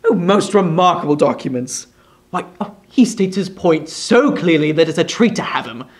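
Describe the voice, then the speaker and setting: A man voicing a fawning, obsequious lawyer who gushes and stammers flattery. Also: on the high side, normal speed, natural voice. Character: fawning obsequious male lawyer, middle-aged.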